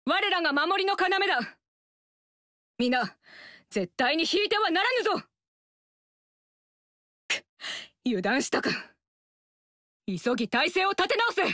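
A proud young warrior woman, commanding and firm, with a high, lively voice. She speaks with slow, measured weight. The studio sound is dry and close. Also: no echo, full character voice. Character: proud young female warrior, a warlord's daughter. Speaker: game character. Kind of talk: game voice lines.

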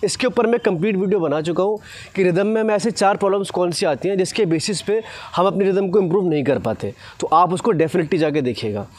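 A man's voice: high-pitched, quick pace, a little mellow, no room sound. A man, explaining cricket technique like a coach, often checking in with short tag questions. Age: 20s. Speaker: cricket fast-bowling coach, presenter. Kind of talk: instructional explanation to camera. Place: open grassy cricket ground, outdoors. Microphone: lapel mic.

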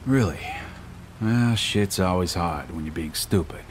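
A man's voice: low voice, animated, unhurried, a little hiss or hum. An actor playing a hard, cynical, world-weary tough guy in a film noir video game, terse and contemptuous. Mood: cold contempt. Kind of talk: film noir motion-comic game acting.

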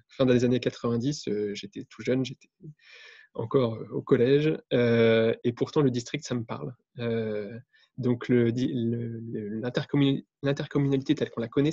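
A man presents to a webinar. His voice is relaxed and mid-pitched, and he explains haltingly, often restating a phrase. The call audio is dull and dry. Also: vocal fry, no echo. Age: 30s. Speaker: guest speaker on intercommunal government. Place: indoor room, on a video call.

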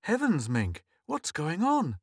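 Man doing a raised-pitch, patient mum, storybook reading. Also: wildly swooping pitch, slow pace, breathy edge, no room sound, clean studio sound, full character voice. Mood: fussing. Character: patient, fussing mother.

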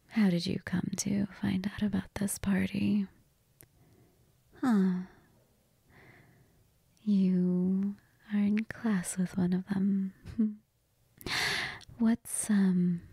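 Woman playing a breathy, flirtatious vampire. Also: medium pitch, no echo, clean studio sound, full character voice. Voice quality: breathy, half-whispered.

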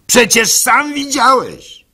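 Man playing an insistent village rabbi, high-pitched. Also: animated, slow, bright, forceful tone, breathy edge, heavily acted. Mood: insistent, probing. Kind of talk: radio play dialogue.

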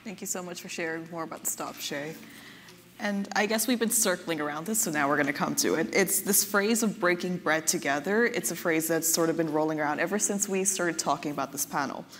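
A woman's voice: lowish voice, a little fast. A woman moderating a panel discussion, asking questions conversationally. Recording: hall reverb, faint noise.

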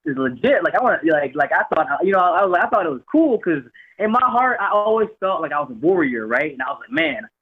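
A man's voice: sits high, fast talker, slightly rough. A young man from Jamaica, raised in Florida, calling in as a guest fighter and talking loosely, often repeating words. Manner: relaxed, rambling, repeats words. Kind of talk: phone-in interview. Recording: tinny phone line.

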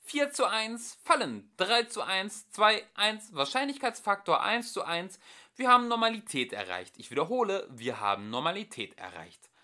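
A man voicing a young woman who reads out a ship's probability countdown over the intercom, high and deliberate. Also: very animated, sharp tone. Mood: announcing.

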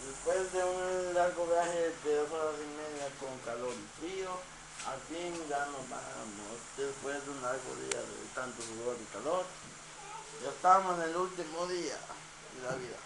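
A woman casually narrating to the camera in a wry, relaxed way. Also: sits low, normal speed, gravelly. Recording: echoey room with loud noise.

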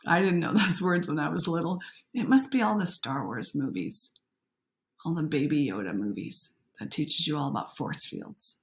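A woman's voice: low voice, lively intonation, normal speed. A middle-aged woman doing a picture-book read-aloud with chatty commentary, interjecting and putting questions to young listeners. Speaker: coach reading a picture book aloud to children.

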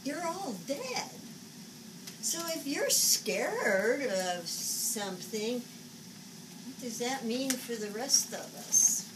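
A woman's voice: a little high, sing-song, heavily acted. Woman acting an exasperated elderly psychic, wildly swooping voice. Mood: bitter, sulking. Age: 70s. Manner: dramatic, exasperated, halting muttering to outbursts. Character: flamboyant, agitated older woman psychic scolding silent spirits. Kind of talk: solo stage monologue. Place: small indoor stage set. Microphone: camera a few metres away. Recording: distant camera recording, noisy room.

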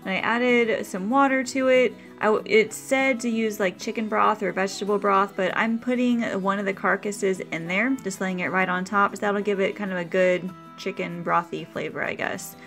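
A woman talks through her meal prep in a relaxed, practical voice-over, pitched in the middle of the range. Soft music plays faintly under her voice. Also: normal speed, few pauses. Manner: chatty, practical, explaining step by step. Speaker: meal prep video host. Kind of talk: casual cooking voice-over.

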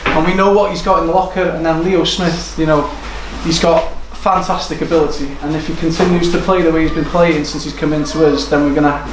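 Higher-pitched man, echoey room. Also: fairly even intonation, brisk, hardly any pauses. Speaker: football manager. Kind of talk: post-match interview. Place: small room indoors overlooking a football pitch. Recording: echoey room with background noise.

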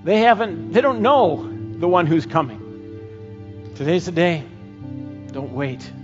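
A middle-aged man preaching a sermon and leading a closing prayer, slow and earnest with frequent pauses, on a headset mic. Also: a little high, big pitch swings, over music. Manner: slow, earnest, pausing often. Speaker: pastor. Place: church stage, indoors. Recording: dull church recording with long reverb.

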